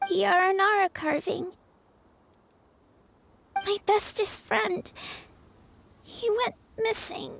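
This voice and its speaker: A woman's voice: very high voice, fairly even intonation, takes it slowly, gravelly, long pauses, fairly bright tone, slight background noise, exaggerated voice. A woman voicing a sickly, wistful young child in a video game, speaking in a hesitant, plaintive way. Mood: sad, wistful.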